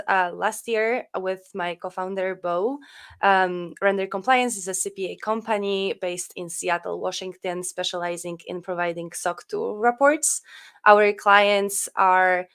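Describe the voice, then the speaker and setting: Polish-accented woman, smooth voice. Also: medium pitch, steady tone, measured pace, fairly bright tone. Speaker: compliance firm founder. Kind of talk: panel introduction.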